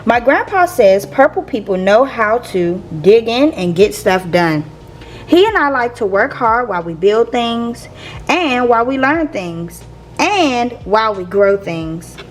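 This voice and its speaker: A woman reading a picture book aloud to young listeners in a playful, expressive teacher's manner. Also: fairly high pitch, a little slow, a little hiss or hum. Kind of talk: expressive children's read-aloud.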